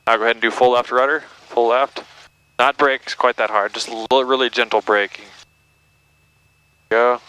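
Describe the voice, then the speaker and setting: A man's voice: mid-range voice, fairly even intonation, moderate pace, long pauses, bright, forceful tone, smooth, low-quality recording. A man working as a flight instructor, giving encouraging step-by-step instructions and often checking in, over an aviation headset. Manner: encouraging, step-by-step coaching with tag questions. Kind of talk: hands-on cockpit instruction. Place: small airplane cockpit in flight and taxiing.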